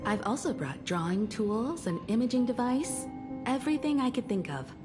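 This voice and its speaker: A woman playing a poised, devoted mature woman in anime game style, calm and reassuring, with a measured, gently teasing manner. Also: a little high, music in the background. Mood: warm, eager.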